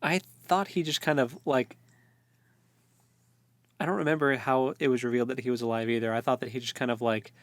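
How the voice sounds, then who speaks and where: A man's voice: mid-range voice, steady tone, a little fast, long pauses, no echo. A man co-hosting a casual book discussion, recapping the story informally with hesitant, joking asides. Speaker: co-host of a book read-along show. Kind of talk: casual chat, recapping a fantasy novel.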